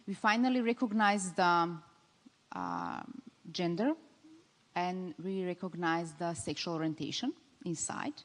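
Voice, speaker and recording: A woman speaking as a government representative, in halting English with frequent restarts. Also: on the low side, sing-song. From North Macedonia. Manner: halting, non-native phrasing with restarts. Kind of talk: panel answer. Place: large conference hall. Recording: reverberant conference hall.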